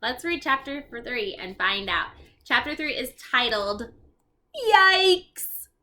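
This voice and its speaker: A woman reading a children's storybook aloud, expressive and explaining things to young listeners as she goes. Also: fairly high pitch, slow pace. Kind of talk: read-aloud narration with asides to young listeners.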